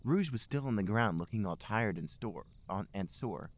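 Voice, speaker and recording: A man's voice: on the low side, expressive, normal speed. A man co-hosting a podcast, narrating amateur fan fiction aloud.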